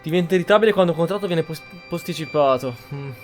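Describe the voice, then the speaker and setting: A man's voice: fairly high pitch, very fast, faint music behind. A man with an Italian accent giving casual game commentary, reflecting and thinking aloud as he plays. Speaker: gaming channel host, player.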